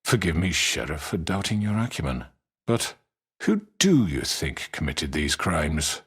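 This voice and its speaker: A menacing video game crime lord. His voice is very deep and husky, and it glides up and down expressively. He speaks with formal, unctuous courtesy, suave but threatening. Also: sing-song, full character voice. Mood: soothing, condescending. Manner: unctuous, formal, measured politeness. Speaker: crime boss. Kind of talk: dramatic video game acting.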